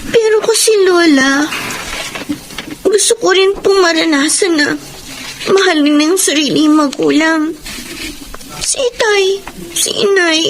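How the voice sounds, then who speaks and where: A woman's voice: extremely high pitch, slow pace, pauses often, slight background noise, heavily acted, rough recording. An actress playing a sad, wistful schoolgirl in a Filipino radio drama, speaking with plaintive emotion. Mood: tearful longing.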